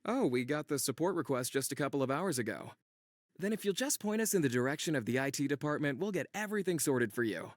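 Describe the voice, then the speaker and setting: A man's voice: on the high side, animated, fast, no echo, studio quality, lightly acted. A man voicing a businesslike agent on a job in an anime visual novel.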